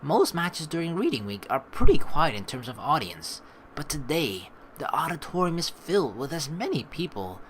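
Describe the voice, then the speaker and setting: A man reading a game aloud as a let's play host, with excitable commentary and dramatic narration. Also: a little high, moderate pace, a little hiss or hum.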